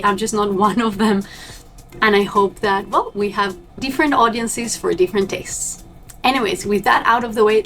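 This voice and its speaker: A young woman from Argentina, a native Spanish speaker, chatting upbeat and direct to her viewers as a cruise ship crew member vlogging. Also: mid-range voice. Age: young adult. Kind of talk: casual vlog to camera.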